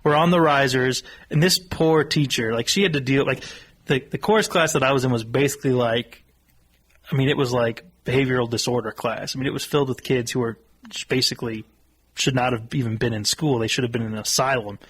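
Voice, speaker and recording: A man's voice: mid-range voice, steady tone, brisk, fairly bright tone, slightly rough, poor audio. A man in his thirties with an American accent, casually telling comic anecdotes in a joking, mock-serious manner as a radio guest. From Sedalia, Missouri, United States. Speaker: guest telling stories of growing up.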